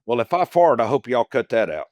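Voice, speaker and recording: A man, a guest actor telling stories in a casual interview, booming and chatty. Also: very animated, fairly quick, dry recording, highs cut off.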